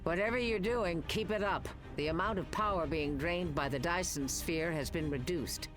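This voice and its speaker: A middle-aged woman playing a commanding, supportive hologram captain who mentors cadets in an animated game. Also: fairly low pitch, moderate pace, over music, lightly acted. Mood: encouraging.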